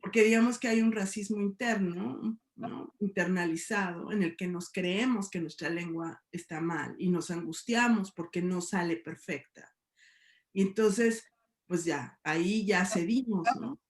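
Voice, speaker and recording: A woman's voice: mid-range voice, a little slow, echoey room. A middle-aged woman with a Mexican Spanish accent, a sociology professor talking thoughtfully in a debate, often asking "¿no?". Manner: thoughtful, many hedges and tag questions. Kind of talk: reflective debate talk.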